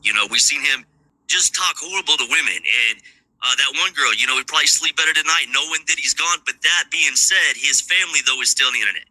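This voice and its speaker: A man's voice: on the high side, fast talker, pushed and bright, no room sound, rough recording. A man in a played video clip, casually commenting on news in a rambling, offhand way.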